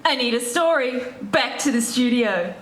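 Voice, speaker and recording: A woman's voice: fairly high pitch, animated, normal speed, natural voice. A woman playing a dramatic TV news reporter in a stage pantomime, projecting formally in a declamatory way. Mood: grave, dramatic. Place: indoor theatre stage. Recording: echoey theatre stage, ambient noise.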